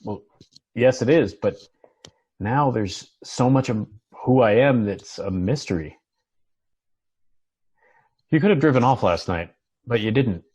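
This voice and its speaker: A man's voice: mid-range voice, animated, unhurried, pauses often, darkish tone, slightly rough. A man playing an earnest, troubled doctor in a TV drama read, reflective and understated. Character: Muff, earnest, troubled doctor seeking a new home.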